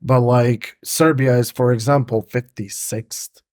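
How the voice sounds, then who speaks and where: A young man from Montenegro hosts a casual podcast, his voice sitting at an ordinary pitch and recorded close and dry. He rambles through fillers and swears casually, and his native language is Montenegrin. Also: steady tone, measured pace, darkish tone, dry recording. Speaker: podcast host. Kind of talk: casual conversation.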